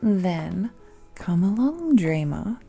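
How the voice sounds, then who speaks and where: A woman playing an ancient, all-powerful, possessive villainess who speaks condescendingly and coaxingly in a romantic audio roleplay, often dropping to a breathy whisper. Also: fairly low pitch, sing-song, slow, mellow, soft background music, exaggerated voice. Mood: haughty, teasing. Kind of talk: romantic audio roleplay, intimate monologue to listener.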